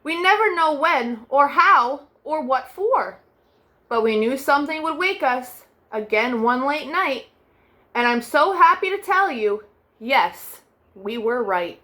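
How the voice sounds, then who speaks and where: High bright-voiced woman reading picture books. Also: animated. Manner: gentle sing-song read-aloud, soft drawn-out refrains. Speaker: children's storytime host.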